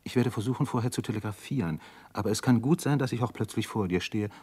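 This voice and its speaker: Male for radio play: tormented army doctor, deep and husky, earnest, inward intensity. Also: quick pace, warm tone. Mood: resolute, worried. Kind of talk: German radio drama acting.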